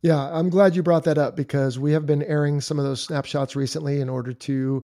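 A man hosting a podcast, chatty and lively as he sets up the next segment. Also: on the high side, a little fast, no echo, studio quality. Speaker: podcast host. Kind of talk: show intro chat.

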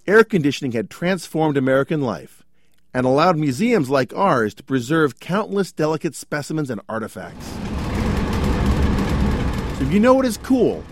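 A man reading scripted promotional narration as a spokesperson for a museum. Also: fairly high pitch. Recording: music behind the voice. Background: music.

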